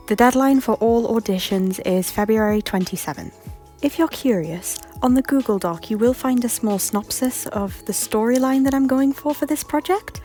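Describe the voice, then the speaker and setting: A woman's voice: a little high, mellow. A woman reading a scripted announcement as a project creator, in a polite, explanatory way. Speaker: creator announcing a voice casting call. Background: background music.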